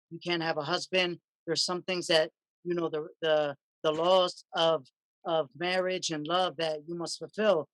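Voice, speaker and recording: A man's voice: fairly high pitch, fairly bright tone, dry recording, low-quality recording. Man explaining with hesitant ums. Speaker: panel guest. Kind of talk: panel discussion answer.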